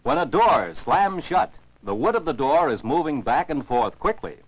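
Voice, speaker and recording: A man's voice: a little high, very animated, normal speed, husky. An American man narrates scripted science for children as an educational film narrator, in a didactic, carefully enunciated way. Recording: dull, dry 1949 film soundtrack.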